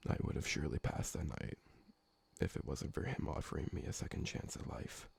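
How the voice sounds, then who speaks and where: A man performs a loyal male vampire assistant in an intimate ASMR roleplay. His voice is very low and heavily creaky, with a husky grain. He is courteous and formal, fussy in a bookish way, and given to rambling. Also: big pitch swings, fairly quick, no echo, exaggerated voice. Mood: earnest, reminiscing. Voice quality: gravelly, husky. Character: polite, loyal old vampire servant, fussy former bookkeeper, male.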